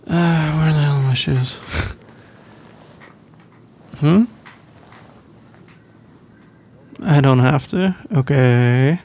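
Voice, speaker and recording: Casting: male actor as drowsy young British student boyfriend, easygoing, halting, gravelly, unhurried delivery for intimate ASMR roleplay. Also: a little high. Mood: flustered, awkward, then tired, reassuring. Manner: hesitant, halting, rambling with soft laughs.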